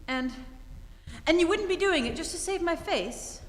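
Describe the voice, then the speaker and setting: Actress as gushing high-society bride, very high voice, vintage radio romance, reverberant hall. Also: female voice, lively intonation, normal speed. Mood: earnest, tremulous. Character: emotional red-haired high-society bride, romantic and breathless. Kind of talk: 1940s radio play acting. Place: theatre stage before an audience. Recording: echoey stage hall, live performance.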